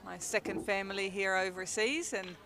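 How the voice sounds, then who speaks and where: A woman's voice: mid-range voice, moderate pace, noisy background. A young Dutch woman with a Dutch accent, chatting casually as a guest commentator at a hockey game, with lots of ums and laughs. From Netherlands. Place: outdoors at a field.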